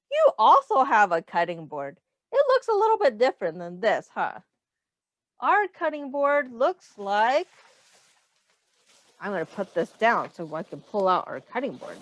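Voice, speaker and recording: A woman teacher reading a picture book aloud to young children, explaining in simple words, asking questions and pausing for answers. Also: on the high side, no echo. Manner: addresses children directly, pausing for their answers.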